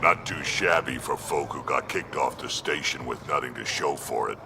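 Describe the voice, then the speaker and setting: A man playing a gruff, blunt old alien mercenary in a video game, with a gravelly voice and curt delivery. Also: extremely low pitch, moderate pace. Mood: gruff, dry. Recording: clear background vehicle and ambient noise.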